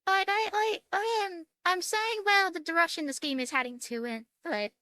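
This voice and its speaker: A woman doing casual gameplay commentary on a visual novel, reading its text aloud with a halting, stumbling delivery and some swearing. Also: very high-pitched, sharp tone, no echo, clean studio sound. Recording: music behind the voice. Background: music.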